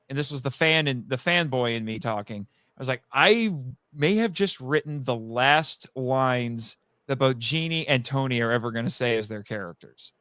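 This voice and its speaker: A man chatting casually as a guest on a live podcast, telling stories in a rambling, animated way and acting out quoted remarks. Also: medium pitch, clear, resonant tone, no room sound. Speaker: guest, audio drama writer.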